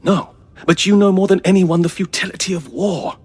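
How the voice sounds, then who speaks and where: A man's voice: sits high, moderate pace, a little mellow, breathy edge. A man playing an earnest, zealous alien peace negotiator in an audio drama, speaking in impassioned, persuasive oratory. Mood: fervent, proud.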